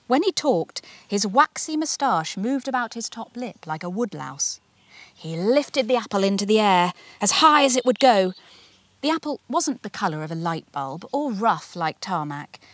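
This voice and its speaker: Animated woman reading a children's story. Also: mid-range voice, no room sound. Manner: dramatic, deliberate storytelling emphasis. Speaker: author reading her own book. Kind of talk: expressive children's storybook narration.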